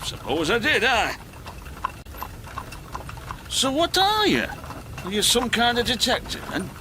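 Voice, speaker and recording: Nosy Yorkshireman, wildly swooping pitch. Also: huge pitch swings, slow, noisy background, heavily acted. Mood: gossipy, probing. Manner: blunt, curious, colloquial. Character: inquisitive working-class Yorkshire local man, plain-spoken. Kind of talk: naturalistic radio drama acting.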